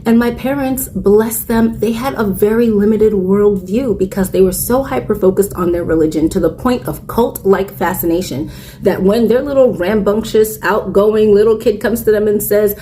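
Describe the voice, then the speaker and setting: A woman's voice: mid-range voice, moderate pace, mellow, slight background noise. A woman in her forties, a content creator, talking candidly and earnestly to camera about her own life. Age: 40s. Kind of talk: personal reflective talk to camera.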